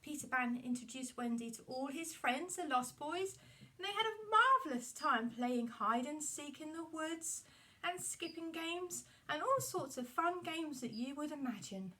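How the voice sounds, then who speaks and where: High-pitched, very animated woman storyteller. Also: unhurried, far from the mic, poor audio. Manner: addresses children directly, exaggerated expression. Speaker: puppet-show storyteller. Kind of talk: animated storytelling for young children.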